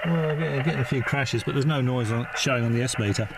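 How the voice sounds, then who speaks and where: Casual male vlogger, mid-pitched, hesitant and unscripted, very noisy in-vehicle recording. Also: fast talker, vocal fry. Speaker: amateur radio operator presenting a video. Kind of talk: casual vlog narration. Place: inside a parked four-wheel drive in the outback. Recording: very noisy hand-held in-car recording, radio static. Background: radio crackle and vehicle ambience.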